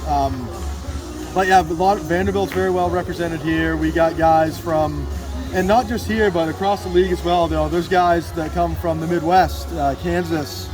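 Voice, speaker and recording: A man's voice: on the high side, fairly even intonation, moderate pace, a crowd in the background. A man in his twenties giving a casual, enthusiastic sports interview as a team announcer. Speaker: PA announcer and radio producer for a baseball team, interview guest. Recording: outdoor recording with music behind.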